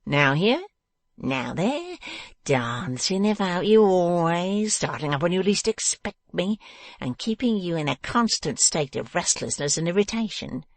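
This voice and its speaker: A man voicing a malicious male dwarf villain in a storybook, taunting and domineering, with slow emphatic menace. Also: sits high, sing-song, dry recording, pitched above the performer's own voice, exaggerated voice. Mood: mocking, menacing. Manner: menacing, emphatic, drawn-out delivery.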